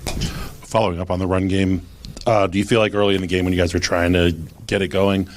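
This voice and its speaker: A man with a low voice speaks as a sports reporter. He is putting a question in a press conference style. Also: flat delivery, fairly quick.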